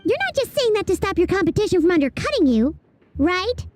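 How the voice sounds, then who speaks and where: A woman voicing a tiny, childlike fairy companion in a video game, squeaky, cheeky and blunt. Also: very animated, a little fast, darkish tone. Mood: skeptical, teasing. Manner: blunt, skeptical, pert. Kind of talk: video game character acting. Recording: music under the voice.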